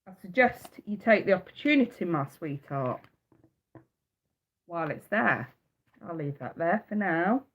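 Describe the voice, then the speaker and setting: A woman's voice: fairly low pitch, lively intonation, measured pace, long pauses. A woman with a stuffy nose from a cold, giving a blunt, fired-up live tarot reading and swearing freely. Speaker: tarot reader.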